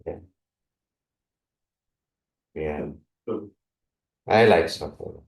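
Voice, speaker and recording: This is a man born in Brazil with a low, deep voice, talking informally to a congregation before he preaches. He laughs often and keeps checking in with "right?" and "you know". The sound carries the echo of a large hall, picked up from some distance away. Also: very slow, creaky. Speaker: visiting preacher. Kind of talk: casual chat with a congregation before a sermon. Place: church hall. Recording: echoey hall, mic from a distance.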